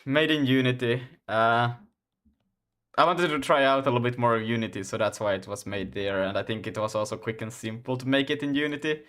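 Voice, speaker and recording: A man's voice: medium pitch, brisk, fairly bright tone. Young man, Finland-Swedish accent, native Swedish, loose rambling streamer delivery. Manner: rambling, restarts often, laughs a lot. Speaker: game developer streaming. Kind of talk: casual live-stream chat while coding.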